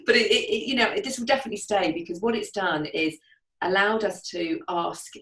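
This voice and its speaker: A woman's voice: medium pitch, a little fast, fairly bright tone. A woman, a guest describing her events in a casual video-call chat, enthusiastic and laughing often. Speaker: guest, networking event organizer. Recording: roomy echo, distant from mic.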